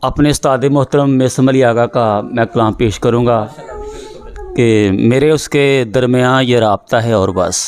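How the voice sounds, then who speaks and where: A young male poet recites couplets at a gathering in a middle, even voice. He repeats each verse, climbing higher in pitch on the lines that land. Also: measured pace. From Pakistan. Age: young adult. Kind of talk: poetry recitation.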